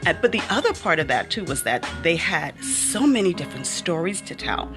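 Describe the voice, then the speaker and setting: A woman's voice: low voice, very animated, fairly quick, over music. A woman raised in the Bahamas, an author speaking as an interview guest, earnest and reflective with frequent ums. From born in Miami, raised in the Bahamas. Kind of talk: personal interview conversation.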